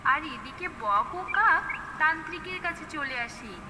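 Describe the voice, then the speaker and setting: A woman's voice: sits high, expressive, a little slow, noisy background. A woman reading scripted narration for a children's cartoon story. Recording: echoey, distant, under loud music.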